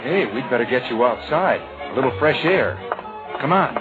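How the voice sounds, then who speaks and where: A man's voice: medium pitch, lively intonation, moderate pace, loud music behind the voice, close to an everyday voice. A man playing an easygoing, gallant young sailor with a casual manner in a 1940s radio romance. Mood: gentle. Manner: casual, friendly, plain-spoken. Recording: thin, narrow-band vintage radio recording.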